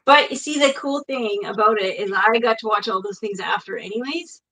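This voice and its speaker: A woman in her forties hosting a casual chat, bubbly and gushing with quick asides. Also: medium pitch, pushed and bright, rough recording. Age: 40s. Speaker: host.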